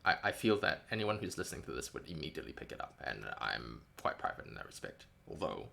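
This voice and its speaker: Gravelly man rambling on a live stream. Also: a bass voice, big pitch swings, fast, sharp tone. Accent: light New Zealand English. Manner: rambling, self-correcting, wry. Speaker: indie game developer streaming a devlog. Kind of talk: casual live-stream chat.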